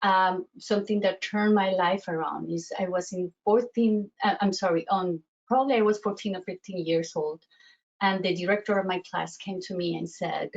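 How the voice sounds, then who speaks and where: A woman's voice: on the low side, steady tone, normal speed, highs cut off. A woman from Colombia with a Colombian Spanish accent, giving a coaching webinar presentation with many fillers and restarts. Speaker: self-worth coach.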